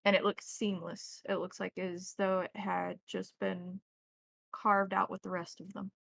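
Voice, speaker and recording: Female game master, deliberate descriptive narration, noticeable vocal fry, dry close recording. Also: medium pitch, level tone, fairly bright tone, no room sound.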